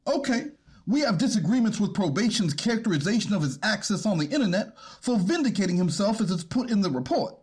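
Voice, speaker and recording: Defense lawyer, acted by a man: high-pitched, very animated, politely contesting in a courtroom play. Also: quick pace, slightly rough, full character voice. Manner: explanatory, polite but contesting. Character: argumentative, apologetic male defense attorney in court. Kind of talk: amateur readers theater courtroom drama.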